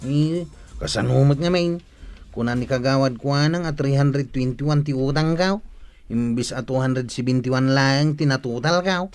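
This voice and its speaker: A man's voice: mid-range voice, moderate pace, a little mellow, clear, resonant tone, soft background music, lightly acted. A man playing a plain-spoken village customer in a comedic radio drama, with a Northern Philippine accent and an indignant, explaining manner. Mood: advising, exasperated.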